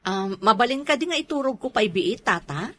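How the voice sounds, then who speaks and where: An actress with an Ilocano accent playing a thrifty, weary domestic helper in a comedy drama, given to exclaiming and complaining. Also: female voice, fairly high pitch, lively intonation, a little fast. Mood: tired, chatty. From Philippines. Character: weary, thrifty domestic helper woman returning from Hong Kong.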